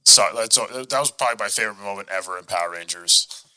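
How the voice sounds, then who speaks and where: A man's voice: lowish voice, quick pace, bright, forceful tone, clear, resonant tone. A man hosting a podcast in casual, enthusiastic fan chat, often quoting his own reactions. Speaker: podcast host.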